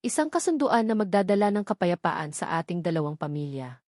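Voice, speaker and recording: A woman's voice: fairly low pitch, big pitch swings, brisk, a little mellow, no room sound. A woman narrator plays a weary old family patriarch with a Filipino accent. He is grave and tired, a storybook drama figure in a dry recording.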